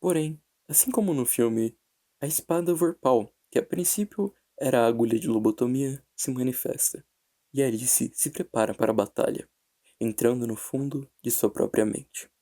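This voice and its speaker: A Brazilian man narrating a scripted horror analysis in a measured, dramatic way with deliberate pauses. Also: medium pitch, warm tone. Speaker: narrator and video essayist. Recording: background music under the voice. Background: music.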